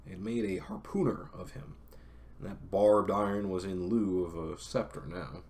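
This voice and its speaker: A man's voice: low-pitched, normal speed, darkish tone. A young man reading a classic novel aloud on a stream, breaking off for chatty, self-correcting asides to his viewers.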